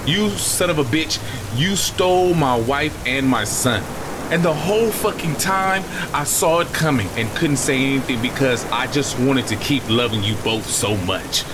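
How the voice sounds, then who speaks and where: A man's voice: medium pitch, lively intonation, normal speed, natural voice. A man acting an embittered, grieving brother in an audio drama, with anguished delivery. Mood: bitter, anguished. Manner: anguished, profane outbursts. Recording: heavy rain and storm noise behind. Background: rain and storm ambience.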